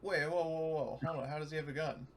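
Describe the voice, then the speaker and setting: A man's voice: on the high side, fairly even intonation, fast. A man chatting casually as a player in a tabletop game, sounding distant from the microphone. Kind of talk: casual chat.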